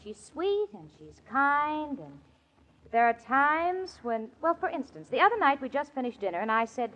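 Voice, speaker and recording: Wisecracking young woman, high swooping voice, exasperated, vintage radio sitcom, dull noisy recording. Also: normal speed. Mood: wry confiding. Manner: wry, expressive, exasperated. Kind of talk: 1940s radio comedy acting and narration. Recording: dull old radio recording, live audience, noise.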